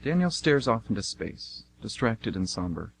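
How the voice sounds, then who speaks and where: A man's voice: on the low side, big pitch swings, moderate pace, gravelly. A man reading scripted narration for an amateur radio play.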